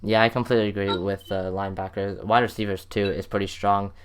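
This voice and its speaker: A man's voice: on the low side, flat delivery, fast, slight background noise. An American man hosting a sports show in a casual, conversational interview style.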